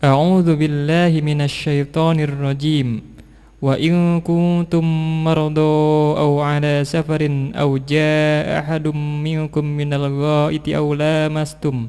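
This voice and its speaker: A man reciting scripture in a measured Quran recitation style. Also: on the high side, little pitch movement, a little mellow, smooth. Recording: long hall-like reverb.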